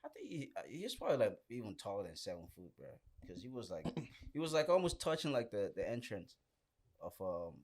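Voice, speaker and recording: A man's voice: on the low side, huge pitch swings, brisk, slight background noise. A young man in a casual group chat, telling stories in slangy speech and often calling his friends bro. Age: young adult. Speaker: podcast participant.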